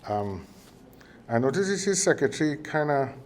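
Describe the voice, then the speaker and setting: A man's voice: on the high side, sing-song, normal speed, slight background noise. An older man with a Trinidadian accent giving a ceremonial speech, chatty and joking, wandering off into anecdotes with plenty of fillers and restarts. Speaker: university chairman at signing ceremony.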